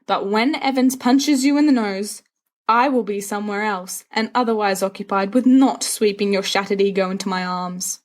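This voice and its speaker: A woman's voice: medium pitch, moderate pace, natural voice. Woman doing a cocky teen wizard boy from a storybook, dramatic, teasing, mock-grand. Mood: theatrically wounded. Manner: theatrical, teasing, flowery speech. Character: dramatic, scornful, mischievous teenage wizard schoolboy.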